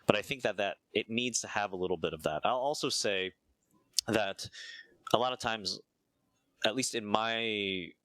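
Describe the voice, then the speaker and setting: Low-voiced American man, reflective. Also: sharp tone, dry recording. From United States. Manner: measured, thinks aloud with frequent "uh" and "right?". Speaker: interview guest, martial arts teacher. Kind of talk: reflective conversational explanation.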